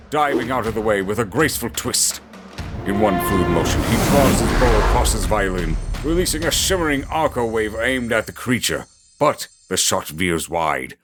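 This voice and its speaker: Guy narrating a dramatic story, really lively intonation, mid pitch, music behind him. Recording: music under the voice.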